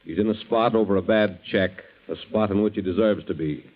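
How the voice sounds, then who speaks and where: An actor playing a grave doctor solemnly reading a letter aloud in a 1940s radio drama. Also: male voice, lowish voice, a little fast, lightly acted. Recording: dull 1940s radio recording.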